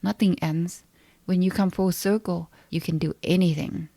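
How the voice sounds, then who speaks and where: A woman voicing the lines of a calm male friend who gently questions her. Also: fairly low pitch, moderate pace, vocal fry, no room sound.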